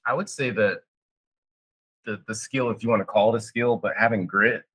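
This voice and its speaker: A man with a very low, husky voice that still carries a bright, forward edge. He speaks on a panel in a halting way, restarting and repeating words as he thinks. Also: fairly even intonation. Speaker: panelist, clean room engineer. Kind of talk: casual panel talk.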